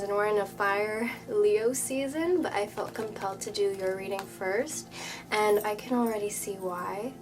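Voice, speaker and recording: A woman reads tarot for her viewers in a mid-pitched, slightly nasal, stuffed-up voice. She speaks earnestly and soothingly, sliding often into a soft whisper. Music plays clearly behind her. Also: poor audio. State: congested, a bit nasal. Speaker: tarot reader. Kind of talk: spoken tarot reading to viewers. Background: background music.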